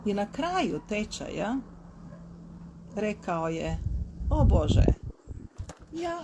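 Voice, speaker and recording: A woman's voice: medium pitch, very animated, slow, heavy background noise. A woman language teacher answering questions in simple, patient Croatian that learners can follow.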